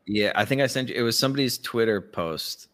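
A man's voice: medium pitch, animated, fairly quick, a bit bright. A man hosting a podcast, chatting casually with blunt, sarcastic, sweary opinions. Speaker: podcast host. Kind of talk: casual opinionated commentary chat.

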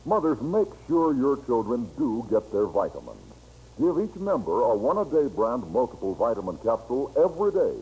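An American man delivers an earnest scripted commercial in old-time radio announcer style. His voice is mellow, with lively rises and falls, over a faintly noisy vintage recording. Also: mid-range voice, moderate pace.

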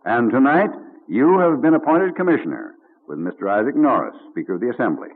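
A man's voice: medium pitch, very animated, fairly quick. A man playing a formal colonial assembly delegate in an old-time radio drama, earnest, respectful and persuasive. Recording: narrow, muffled old radio recording.

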